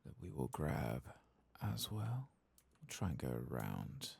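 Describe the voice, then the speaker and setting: A man giving soft-spoken ASMR commentary on a video game, in a breathy voice that often drops to a whisper. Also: rumbling low, wildly swooping pitch, a little slow, no room sound.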